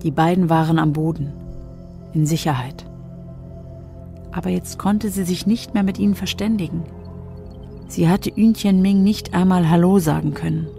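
A woman's voice: lowish voice, moderate pace, gravelly, pauses often, mellow, soft background music. A woman narrating a radio drama from a script.

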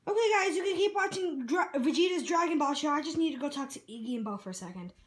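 A woman's voice: sits high, animated, quick pace. A woman voicing a needy, earnest young boy who rambles dramatically in a homemade cartoon skit.

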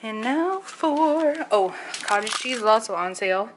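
A woman's voice: a little high, lively intonation, a little slow, noisy background. Blunt, joking young woman vlogger. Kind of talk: casual chat. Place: home kitchen and pantry.

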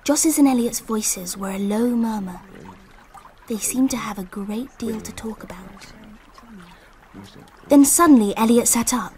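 An actress narrating a radio drama as a wistful English girl recalling a summer, in hushed, lyrical storytelling. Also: fairly high pitch, measured pace, long pauses, natural voice.

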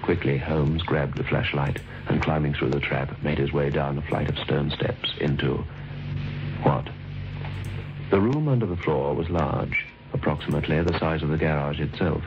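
Very deep male voice, ominous radio suspense narrator, dramatic, dull vintage sound. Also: normal speed. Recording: dull old radio recording with music.

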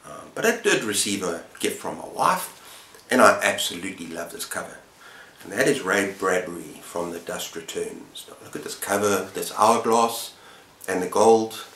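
Low-voiced middle-aged man, roomy sound. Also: animated, normal speed, a bit bright. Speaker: book vlogger, channel host. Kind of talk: casual chat to camera. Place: book-lined room at home, indoors. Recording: roomy, echoing indoor sound.

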